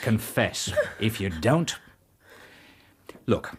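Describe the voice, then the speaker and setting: An actor playing an exasperated police interrogator in a radio drama, pressing hard with insistent questions. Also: male voice, lowish voice, sing-song, very slow, exaggerated voice. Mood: exasperated, threatening. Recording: clear background noise.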